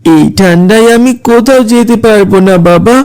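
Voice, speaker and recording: A woman's voice: mid-range voice, normal speed, a little mellow, clear, resonant tone, lightly acted. A woman voicing a sleepy, lazy young boy who grumbles stubbornly in a children's cartoon. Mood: grumpy, annoyed. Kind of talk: children's moral cartoon dialogue.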